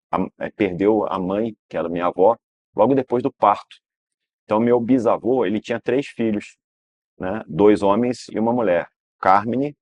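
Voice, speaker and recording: A man's voice: on the low side, a little mellow, no echo. A Brazilian man, a guest in an interview telling long family stories, rambling and restarting with frequent tag questions. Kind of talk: relaxed storytelling interview.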